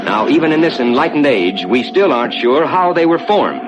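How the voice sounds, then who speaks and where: Man acting as assured 1950s rocket captain, animated intonation. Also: over loud music, lightly acted. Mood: assured, explanatory. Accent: American. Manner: measured, explanatory, reassuring. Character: assured male rocket ship captain guiding passengers through space. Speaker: captain and tour narrator. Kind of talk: 1950s scripted theme park ride narration. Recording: dull 1950s soundtrack with loud rumbling noise.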